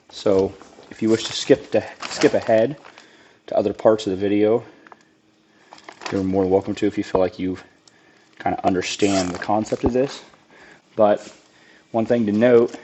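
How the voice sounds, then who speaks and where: Low-voiced man getting over a cold, raspy, talks plainly and thinks out loud, clear noise in the background. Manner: plain-spoken, relaxed, thinks aloud with restarts. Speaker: lawn care business owner demonstrating a DIY build. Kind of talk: casual how-to narration while working.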